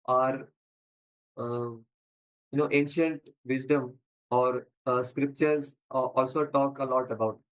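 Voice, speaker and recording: A man from India with an Indian English accent gives a conference talk, pausing often with fillers and stuttering. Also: medium pitch, poor audio. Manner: frequent pauses and fillers, stutters. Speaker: conference presenter. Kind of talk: prepared talk.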